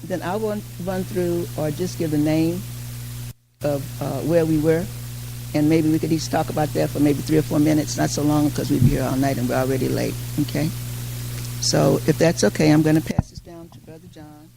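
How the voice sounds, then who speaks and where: An older woman with a gravelly creak in her voice moderates a panel in an informal way. She speaks conversationally, pausing and repeating phrases. A faint hum sits behind her. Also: low-pitched, fairly even intonation. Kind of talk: informal public address. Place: community hall at a mosque. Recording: faint background noise and hum.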